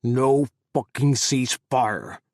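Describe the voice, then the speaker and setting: A man's voice: mid-range voice, slow, darkish tone, dry recording, clean studio sound. A man doing a furious bellowing commander. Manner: angry bellowing.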